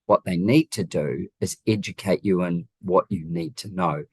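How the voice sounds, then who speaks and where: Deep-voiced New Zealand man, emphatic talker. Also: moderate pace, a little mellow, husky, dry recording. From Wellington region, New Zealand. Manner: emphatic, illustrates points with rhetorical questions. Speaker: guest, home designer. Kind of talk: conversational interview answers.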